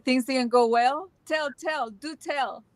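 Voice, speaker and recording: A woman from Chile with a Chilean Spanish accent, chatting casually as a live interview guest in an emphatic, expressive way. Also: high voice, takes it slowly, no echo. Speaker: interview guest, video channel creator.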